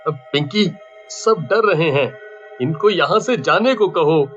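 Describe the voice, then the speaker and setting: A man voicing a flustered, frightened sports coach who stammers, in an animated story. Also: a little high, slow pace. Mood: nervous, wary. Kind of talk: Hindi animated story acting. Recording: muffled recording with music bed.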